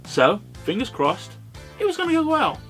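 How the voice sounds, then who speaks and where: Animated British man, sardonic reviewer. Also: extremely high pitch, normal speed, faint music behind. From Britain. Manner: opinionated, sardonic, sweary. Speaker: host and reviewer. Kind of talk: informal scripted video review commentary.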